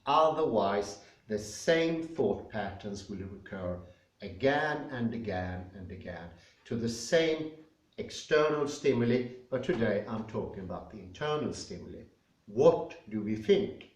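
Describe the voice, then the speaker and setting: A man with a Swedish accent giving a spoken lecture, thoughtful and pausing often with fillers. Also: lowish voice, expressive. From Sweden. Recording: echoey room, mic at a distance.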